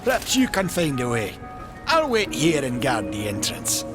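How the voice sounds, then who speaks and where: An actor playing a good-natured young giant blacksmith in a video game, folksy and earnest. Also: male voice, mid-range voice, wildly swooping pitch, normal speed. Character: eager good-natured young Maker smith, brawny giant. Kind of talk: video game character acting. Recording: game music behind.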